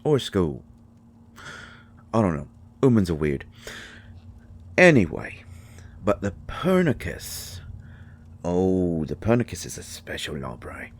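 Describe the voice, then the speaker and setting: A man playing a shy, kindly mushroom fairy in a roleplay audio drama, speaking haltingly with folksy, ungrammatical phrasing. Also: low-pitched, big pitch swings, full character voice. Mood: earnest, musing, then explaining, reverent. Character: shy, kindly male mushroom fairy living in magic library. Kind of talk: scripted roleplay audio drama addressed to the listener.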